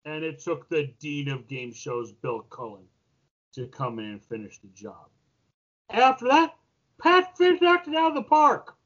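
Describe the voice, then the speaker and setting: A man's voice: on the high side, very animated, measured pace, a bit bright, highs cut off. A man giving casual, opinionated banter as a podcast panelist, emphatic and salty-tongued.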